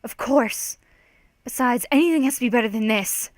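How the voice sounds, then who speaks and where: Brave young sister, acted by a woman, earnest, reassuring, higher voice, close dry recording. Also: normal speed, dry recording, close to an everyday voice. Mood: hopeful. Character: brave, hopeful, prematurely aged sister in isolation.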